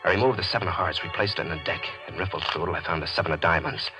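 Cold, calculating male gambler-murderer played by an actor, low register, old radio mystery with music behind. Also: racing, natural voice, rough recording. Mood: cold, methodical. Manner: calculated, confessional first-person telling. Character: cold, scheming gambler narrating his own murder. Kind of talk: 1940s radio mystery acting. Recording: vintage radio broadcast with music.

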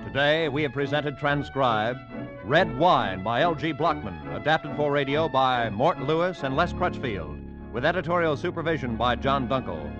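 A man as a formal radio announcer reading credits and promotions in a crisp, measured way. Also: medium pitch, animated, music in the background. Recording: muffled old radio broadcast.

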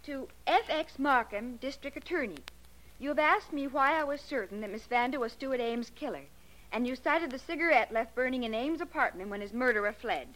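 An actress playing an eager, adoring American secretary to a detective, perky and deferential in 1940s radio drama style. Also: female voice, high voice, lively intonation, a little fast, a bit bright, rough recording. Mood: eager, flattered.

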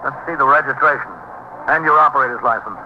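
A man acts out a steady American police captain in a 1950s radio drama, matter-of-fact and authoritative. His voice sits at a medium pitch, in a clipped official delivery. The old recording is dull and clearly noisy. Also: fast, natural voice. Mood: stern. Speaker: narrator and lead actor.

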